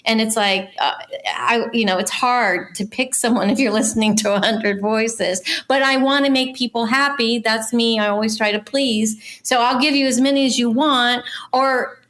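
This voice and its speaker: A woman's voice: fairly high pitch, steady tone, normal speed, a bit bright. Thoughtful woman explaining in conversation. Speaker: casting director. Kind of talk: conversational interview answers.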